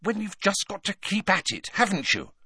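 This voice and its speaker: A man voicing a brisk teenage schoolgirl in an audiobook, matter-of-fact and insistent with her advice. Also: high-pitched, huge pitch swings, pushed and bright, slightly rough, no room sound, studio quality, pitched above the performer's own voice, full character voice.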